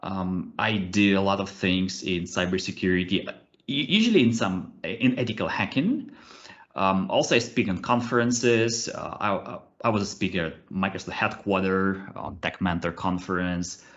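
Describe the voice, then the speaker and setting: A man in his thirties with a Russian accent, presenting a cybersecurity session over a video call. He hesitates often, uses many fillers and restarts, and keeps his delivery animated. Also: sits low, moderate pace, muffled recording. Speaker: conference speaker, ethical hacker. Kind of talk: webinar presentation with live demos. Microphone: computer mic on a video call.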